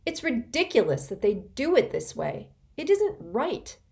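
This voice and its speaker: A woman's voice: fairly high pitch, sing-song, measured pace, creaky, voice pushed up, heavily acted. A woman reading an audiobook, voicing a cranky, petulant little girl who whines and sneers. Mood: cranky, shrill. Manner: whiny, sneering and shouty. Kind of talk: audiobook character voice.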